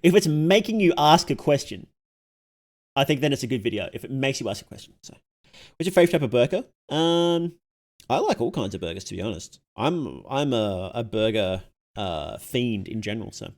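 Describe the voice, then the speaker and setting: A man's voice: mid-range voice, sing-song, moderate pace, a little mellow. A man hosting a livestream, reacting casually to videos in a rambling, informal, slangy way.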